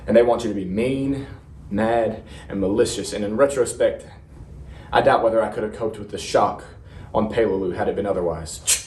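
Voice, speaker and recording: A man playing a haunted young Marine veteran, telling of war in a halting, stammering dramatic monologue with heavy pauses. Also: fairly low pitch, darkish tone, natural voice. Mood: reflective. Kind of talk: serious dramatic interpretation monologue. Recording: roomy, distant mic, faint noise.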